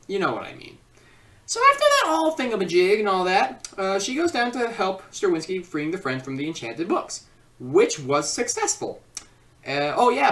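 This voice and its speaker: Woman, casual film reviewer, rambling, comedic, lively swooping pitch, distant microphone. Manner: rambling, excitable delivery.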